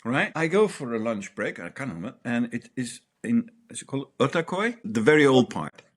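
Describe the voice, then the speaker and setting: A middle-aged man from Denmark who grew up in the Netherlands, telling personal stories as an interview guest in an animated way. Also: medium pitch, a little fast. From Denmark, raised in the Netherlands. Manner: animated, swears and laughs while telling stories. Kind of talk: reflective storytelling.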